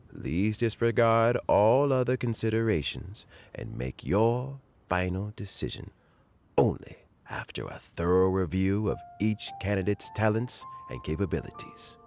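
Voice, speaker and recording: An actor playing a kindly older man close to retirement, gentle and reminiscing, chuckling often. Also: on the low side, very animated, unhurried, creaky, faint music behind, heavily acted. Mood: warm. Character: kindly old man near retirement, fond of fishing. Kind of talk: game voice acting.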